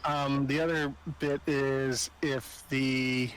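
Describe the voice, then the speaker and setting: A man's voice: mid-range voice, little pitch movement, slow, fairly bright tone, smooth, rough recording. A man playing a tabletop game, casually thinking aloud in hesitant fragments. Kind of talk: casual group game chat. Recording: faint background noise.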